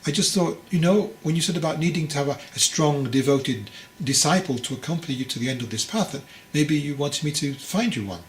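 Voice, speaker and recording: A man's voice: fairly high pitch, expressive, a little fast, few pauses, roomy sound, a little hiss or hum, pitched above the performer's own voice, lightly acted. A man voicing a fragile, anxious young would-be monk in a storybook, hesitant, earnest and deferential. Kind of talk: storybook character dialogue.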